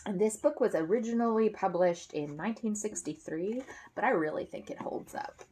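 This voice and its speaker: A woman reading children's books aloud in an expressive way and chatting to listeners about the pages and pictures. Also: medium pitch, normal speed. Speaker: reader of children's books for an online series. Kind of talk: animated read-aloud storytelling with comments to viewers.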